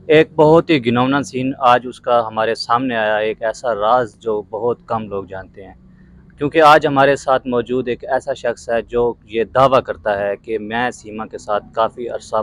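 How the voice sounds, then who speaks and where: A man hosting a video interview, speaking in a formal, dramatic presenter's manner. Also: on the low side. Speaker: interviewer and host. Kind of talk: video interview with spoken introduction.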